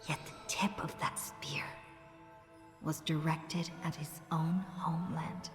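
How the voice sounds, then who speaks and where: A woman playing a solemn storyteller in a game, narrating a hero's legend in a measured, grave, theatrical manner. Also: deep voice, little pitch movement, whispered. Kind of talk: scripted dramatic narration. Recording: echoey hall reverb, loud music behind.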